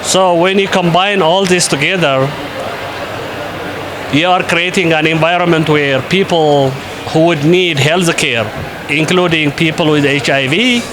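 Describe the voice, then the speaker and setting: A man from Ethiopia, an invited conference speaker, answering interview questions in a measured way with frequent pauses and fillers. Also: fairly high pitch, background noise. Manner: measured, with many hesitations and fillers.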